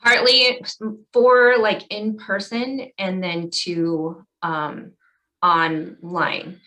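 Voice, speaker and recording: A woman's voice: fairly low pitch, fairly even intonation, extremely slow, sharp tone, poor audio. A woman speaking informally and candidly as a city official chairing a conference call.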